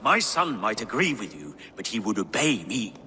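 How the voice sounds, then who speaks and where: A man's voice: fairly low pitch, very animated, moderate pace, pushed and bright, a little hiss or hum, heavily acted. A stern old Viking king. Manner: commanding, lecturing, stern. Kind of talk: video game cutscene acting.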